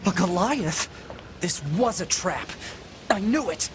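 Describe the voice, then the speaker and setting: A man playing a young male android scout in a video game, skeptical and excitable, with informal, expressive speech. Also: sits high, takes it slowly, background noise. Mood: wary.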